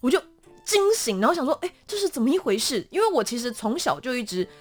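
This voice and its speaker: A woman with a Taiwanese Mandarin accent, telling stories as a guest in a conversational interview with a dramatic, animated delivery. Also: sits high, fast, faint music behind. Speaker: voice actor guest.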